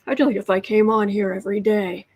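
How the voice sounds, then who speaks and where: A woman hosting a political tarot livestream who reads out cards and viewer comments in a chatty, sarcastic way, often restarting her sentences and clearing her throat. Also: mid-range voice, fast talker, a little mellow. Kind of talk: casual livestream commentary while reading cards and chat.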